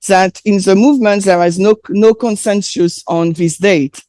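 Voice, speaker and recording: A woman with a French accent, French her first language, delivering a sermon in English with hesitant, filler-laden pauses while an interpreter follows her. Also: medium pitch, fairly even intonation, mellow. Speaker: presenter.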